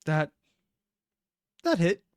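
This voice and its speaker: A reaction video host giving casual commentary in a halting, emotionally invested way. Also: no room sound, studio quality.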